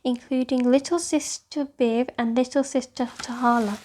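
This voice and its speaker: A woman's voice: high voice, steady tone, moderate pace, a little mellow, slight background noise. A woman reading scripted storybook narration for children.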